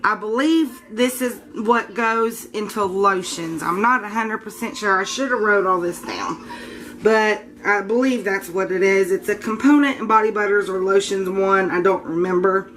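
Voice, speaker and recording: A woman's voice: mid-range voice, normal speed, fairly bright tone. A woman with a congested, scratchy voice chatting casually in her home kitchen, talking informally and correcting herself as she goes. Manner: chatty, informal, a bit unsure, self-correcting. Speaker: home soap maker. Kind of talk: casual show-and-tell vlog.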